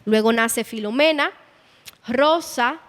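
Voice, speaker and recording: Guía dominicana, voz aguda; explica historia en sala con eco largo. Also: female voice, fairly high pitch, takes it slowly, a bit bright, in a large hall. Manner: explanatory, narrates history with quoted dialogue. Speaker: museum guide. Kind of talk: guided tour explanation. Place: indoor exhibition hall.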